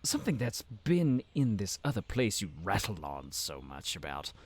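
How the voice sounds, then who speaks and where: Snooty slimy town advisor in a fantasy audio rp, drags words out, pitch all over, bit of background noise. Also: male voice, very animated. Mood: smug, scheming. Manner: haughty, drawn-out, mock-polite. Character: pompous, conniving male town advisor, smug and easily enraged. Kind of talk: fantasy audio roleplay acting.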